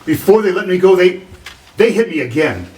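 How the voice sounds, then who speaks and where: Man playing an earnest, high-voiced young-sounding artist. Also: big pitch swings, normal speed, a little mellow, slight background noise. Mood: earnest, shaken. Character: earnest, shaken male artist recounting an ordeal. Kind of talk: 1940s radio drama reading. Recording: voice distant from the mic.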